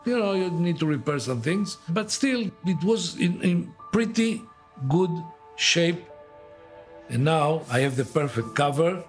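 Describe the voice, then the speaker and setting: A man's voice: a little high, animated, a little slow, soft background music. A man in a documentary interview, speaking casually with hesitant phrasing. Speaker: interviewee, operation organizer.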